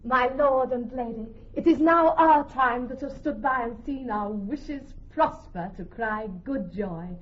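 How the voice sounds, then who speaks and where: A courteous young gentlewoman speaks courtly verse. Her voice is high, and the old radio recording sounds dull. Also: a little slow, hardly any pauses. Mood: joyful. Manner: formal, courtly verse delivery. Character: courteous, well-wishing young Venetian gentlewoman. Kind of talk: classical Shakespearean radio drama.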